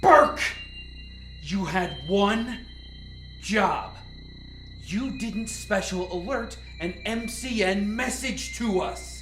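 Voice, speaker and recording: A woman's voice: mid-range voice, animated, extremely slow, pauses often, a bit bright. A woman playing the commanding, easily exasperated leader of a scientific team in a radio drama. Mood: angry, exasperated. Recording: roomy echo, faint music bed.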